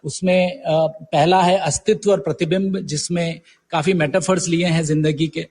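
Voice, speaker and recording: A man's voice: a little high, fairly even intonation, normal speed, darkish tone, breathy edge. A middle-aged man from India talking reflectively in an interview, mixing in English words and telling anecdotes conversationally. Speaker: guest poet and professor.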